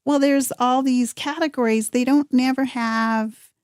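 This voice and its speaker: A woman with a fairly high voice chats as a guest songwriter on a radio show. She talks casually and pauses often with "um" while finding her words. The sound is close and dry, with no room echo. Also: fairly even intonation, clear, resonant tone. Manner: chatty, hesitant, many "um"s. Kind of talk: casual interview chat. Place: radio studio.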